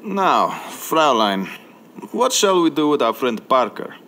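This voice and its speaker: A man's voice: mid-range voice, big pitch swings, slow pace, heavy background noise. An actor playing a suspicious, menacing male gambler villain in an audio spy game, speaking in a theatrical, threatening way. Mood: commanding.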